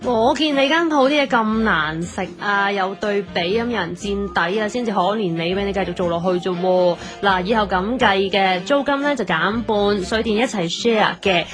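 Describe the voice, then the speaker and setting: Actress as bossy, sharp-tongued Hong Kong tomboy. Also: female voice, medium pitch, normal speed, hardly any pauses, natural voice. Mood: smug, demanding. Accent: Hong Kong Cantonese. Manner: blunt, scolding, casual. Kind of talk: audio drama dialogue. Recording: prominent music behind the voice.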